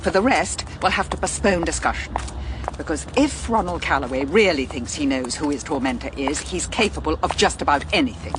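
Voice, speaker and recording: Imperious English lady detective, low-voiced. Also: lively intonation, a little fast, noisy background. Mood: brisk, deducing. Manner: precise, formal, wry wording. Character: seasoned, imperious, dry-witted female private investigator. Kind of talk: radio detective drama acting.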